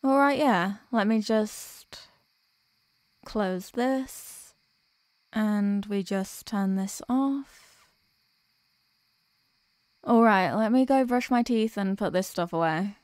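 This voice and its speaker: A woman playing a sweet, sleepy workaholic girlfriend in an ASMR audio roleplay, speaking softly and hesitantly with affection. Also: medium pitch, takes it slowly, long pauses, dry recording, close to an everyday voice. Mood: flustered, reluctant.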